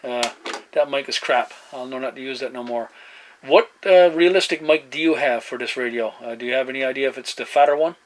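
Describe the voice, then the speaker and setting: A man in casual two-way radio chat as a hobbyist, speaking in halting phrases with radio slang. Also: medium pitch, noisy background.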